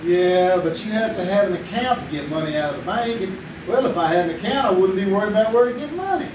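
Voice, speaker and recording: A man's voice: high-pitched, expressive, fast, background noise. A man playing a broke young schemer. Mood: wry. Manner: wry, joking, easygoing. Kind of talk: 1950s-style radio drama acted live on stage. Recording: echoey theater sound from a distant mic.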